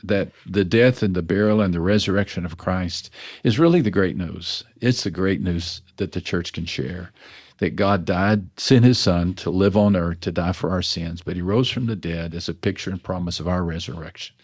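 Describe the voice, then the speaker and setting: Man, pastor, deep voice, earnest, self-deprecating, storytelling interview guest. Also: moderate pace, a little mellow. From West Texas. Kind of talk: reflective storytelling in conversation.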